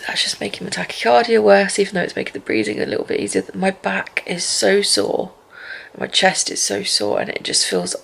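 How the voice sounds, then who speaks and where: A woman talking in a personal video diary from her apartment, speaking in a weary, low-energy way. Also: very animated, brisk, vocal fry, a bit bright. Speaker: vlogger. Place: apartment at home.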